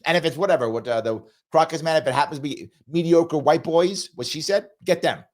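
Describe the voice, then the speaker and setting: A man's voice: fairly high pitch, fairly quick. A man hosting a political talk show, reading out viewer comments and answering them bluntly, repeating his points, with a cough he is getting over. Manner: blunt, emphatic, repeats himself for emphasis. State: lingering cough.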